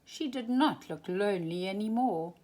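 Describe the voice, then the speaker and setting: A woman storyteller reading a children's storybook aloud, expressive and emphatic, drawing out key words. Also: medium pitch, moderate pace.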